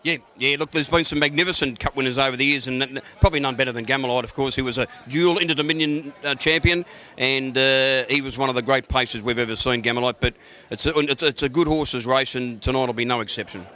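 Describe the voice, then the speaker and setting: Relaxed racing tipster. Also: male voice, medium pitch, fast. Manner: relaxed, hesitant, starts with "look". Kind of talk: casual interview chat. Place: racecourse pavilion lounge.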